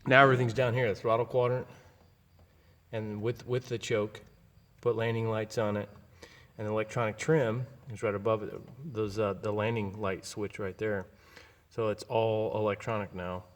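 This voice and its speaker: A man giving a casual, unscripted walkthrough as an aircraft owner, rambling and halting in a folksy way. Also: lowish voice, flat delivery. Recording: long hall-like reverb.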